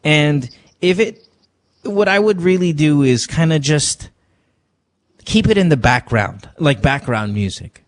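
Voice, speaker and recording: A man's voice: fairly high pitch, lively intonation, measured pace, long pauses. A man hosting a call-in advice show, joking and frank, who drops Tagalog words into his talk. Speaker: radio advice show host. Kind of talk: casual call-in advice chat.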